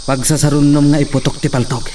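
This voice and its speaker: An actor playing a young gentleman who calmly teaches a woman to shoot a gun. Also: fairly high pitch, quick pace, far from the mic, a little hiss or hum, lightly acted. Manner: calm, instructive.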